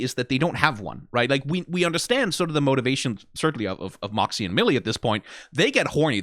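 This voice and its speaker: A man's voice: medium pitch, a bit bright, dry recording, studio quality. A man hosting a reaction video, giving rambling, analytical criticism full of "like" and "right?" with a wry, profane edge. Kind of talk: casual critical commentary.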